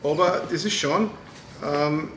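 A middle-aged man with an Austrian accent, interviewing a guest in a hesitant, measured way with many fillers. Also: on the high side, fairly bright tone, roomy sound, slight background noise, poor audio. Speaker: interviewer and music booker. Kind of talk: casual interview chat.

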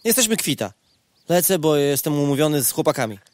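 A man's voice: fairly high pitch, sing-song, a little fast, a little mellow, no room sound. A man playing an offhand, teasing young male insect in a radio play, dry and casual.

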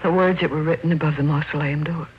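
An actress playing a frightened wife in an old radio drama, with an anxious, dramatic delivery. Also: female voice, deep voice, steady tone, fast, close to an everyday voice. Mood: uneasy. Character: frightened wife fleeing a sinister stranger. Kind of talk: 1940s radio drama acting. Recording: dull, noisy old radio recording.

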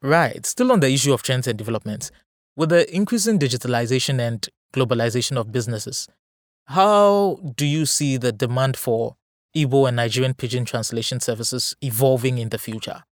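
A male podcast interviewer with a medium-pitched voice. He words his questions formally and carefully. The sound is dry, with hardly any room around the voice. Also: lively intonation, brisk, no echo.

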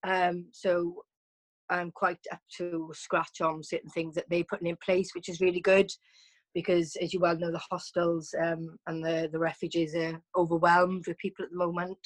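A woman's voice: on the low side, level tone, normal speed, low-quality recording. Chatty woman on a video call. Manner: chatty, frequent ums and restarts. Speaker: guest. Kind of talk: casual chat over a video call.

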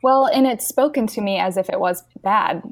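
A woman with a slightly nasal voice, co-hosting a podcast and telling stories in animated, emphatic casual chat. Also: medium pitch, normal speed, dry recording. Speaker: podcast co-host.

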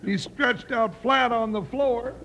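An actor playing a blustery, loyal comic deputy sidekick with folksy, exasperated cowboy talk in a radio western. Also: male voice, extremely high pitch, slow, gravelly, bright, forceful tone, heavily acted. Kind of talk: 1950s radio western acting. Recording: noisy old-time radio recording.

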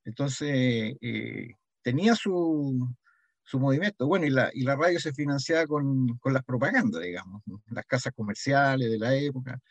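A man's voice: medium pitch, normal speed, no room sound. An older man with a Chilean accent, reminiscing conversationally as an interview guest and explaining things through examples, often checking that he is followed. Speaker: interview guest, local heritage association member.

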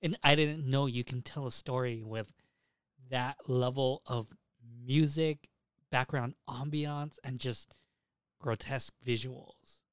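A man's voice: mid-range voice, fairly even intonation, slow, clear, resonant tone, dry recording, clean studio sound. A young man chatting casually as a podcast host, emphatic and opinionated, repeating himself and swearing freely.

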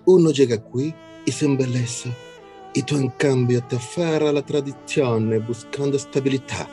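An actor playing a smitten Italian immigrant lover in a radio soap opera, speaking romantically and effusively with an Italian accent. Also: male voice, mid-range voice, moderate pace, warm tone, faint music behind, lightly acted. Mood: enraptured, tender.

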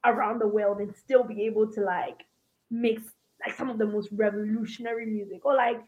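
A woman's voice: medium pitch, fairly even intonation, brisk, darkish tone, lo-fi sound. A woman from Ghana with an American accent, a musician chatting casually and hesitantly as a podcast co-host. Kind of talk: casual conversation.

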